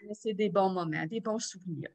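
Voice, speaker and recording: A woman's voice: mid-range voice, a little fast. An older woman, a guest in a casual remote interview, telling stories from her youth with restarts. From Cornwall, Ontario. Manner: warm storytelling with laughs and restarts. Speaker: interview guest, community association president.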